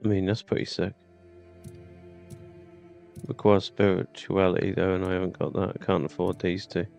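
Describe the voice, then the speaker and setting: A British man with a low, heavily gravelly voice talks through a video game. He muses aloud casually, often asking himself questions, with faint music behind him. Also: flat delivery, moderate pace, a little mellow. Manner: musing, thinking aloud in questions. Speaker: gamer, let's play host. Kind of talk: casual gameplay commentary. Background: faint game music and sounds.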